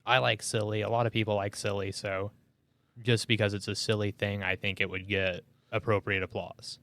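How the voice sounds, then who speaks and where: A man's voice: on the low side, level tone, moderate pace, a bit bright. A man hosting a casual podcast interview, laid-back, mostly brief replies and easy questions. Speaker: podcast host.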